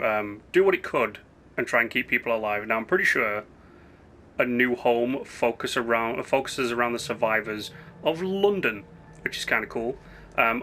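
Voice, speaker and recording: A man with a partly lost, hoarse voice talks through a game in a loose, rambling way, often correcting himself mid-sentence. His tone is bright and a little tense, pitched in a normal male range, with a faint background hum under him. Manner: rambling and chatty, with frequent fillers and self-corrections. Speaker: gaming channel host. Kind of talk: casual let's-play commentary.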